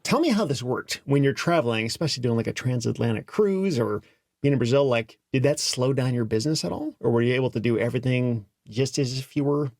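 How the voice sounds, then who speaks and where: A man's voice: medium pitch, lively intonation, quick pace, darkish tone, no echo, studio quality. A man hosting a podcast, asking questions in a laid-back, casual way and saying "like" often. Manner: laid-back, says "like" a lot. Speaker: podcast host. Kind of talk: casual interview talk.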